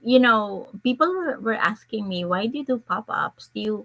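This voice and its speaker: A middle-aged woman with a Filipino accent, a children's book author chatting in an interview, lively and talkative. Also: on the high side, moderate pace, low-quality recording. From Philippines. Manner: chatty, lively, quotes people in animated voices. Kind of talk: casual interview conversation.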